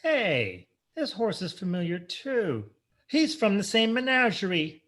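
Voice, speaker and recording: A man's voice: high-pitched, big pitch swings, a little slow, a bit bright, heavily acted. A man playing a timid, dutiful grown son in a comic radio play, meek and earnest. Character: timid, dutiful grown son under his mother's thumb. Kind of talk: comic old-time radio play acting.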